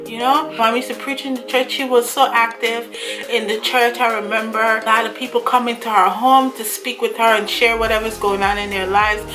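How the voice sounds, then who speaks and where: A young woman from Guyana, talking earnestly and chattily to camera while reading from her notes. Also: a little high. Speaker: vlogger. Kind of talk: casual talk to camera. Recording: music playing under voice. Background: background music.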